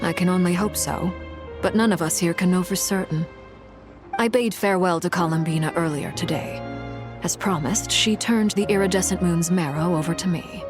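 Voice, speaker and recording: An actress playing a composed, formal noblewoman in a video game, speaking in a measured, restrained and solemn way. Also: lowish voice, lightly acted. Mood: gentle, grave. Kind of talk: dramatic video game dialogue. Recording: prominent music behind the voice.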